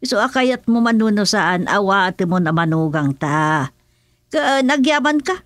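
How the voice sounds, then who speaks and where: A woman's voice: mid-range voice, expressive, unhurried, no echo, natural voice. Actress as a blunt, scolding woman, close and dry. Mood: chiding. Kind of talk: comedic radio drama acting.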